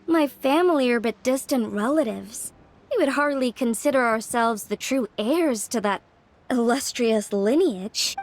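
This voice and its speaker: A woman's voice: a little high, measured pace. A woman voicing a polite, learned young woman in a video game, eager and formal in manner. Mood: courteous, modest. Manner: formal, courteous. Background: game music.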